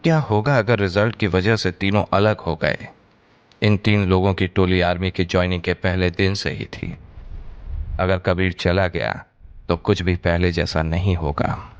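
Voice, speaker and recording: A man's voice: low voice, fairly even intonation, unhurried, clean tone, highs cut off. A man narrating a scripted audio story in a dramatic style. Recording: music behind the voice. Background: background music.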